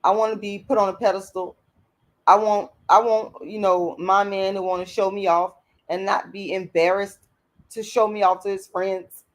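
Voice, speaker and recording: A woman speaks in a hoarse voice. She addresses her viewers directly in a blunt, emphatic late-night stream monologue. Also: medium pitch, flat delivery, moderate pace. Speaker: channel host.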